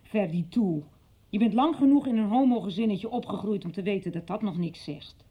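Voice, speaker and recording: A woman's voice: mid-range voice, brisk, breathy edge, muffled recording, natural voice. An actress playing an outspoken, emotional, exasperated woman in a radio soap. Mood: frank, confiding. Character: exasperated, outspoken adult woman, frank and feisty. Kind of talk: 1990s Dutch radio soap acting.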